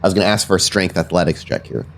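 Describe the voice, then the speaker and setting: A man's voice: deep voice, very fast, gravelly. A man acting as game master, improvising descriptive narration for a tabletop game in a conversational way.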